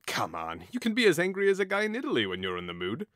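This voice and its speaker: A man playing a hot-headed, mocking young nobleman, swinging pitch. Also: huge pitch swings, fast, a bit bright, no room sound, clean studio sound. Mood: teasing, mocking. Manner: theatrical, taunting. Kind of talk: audio drama acting.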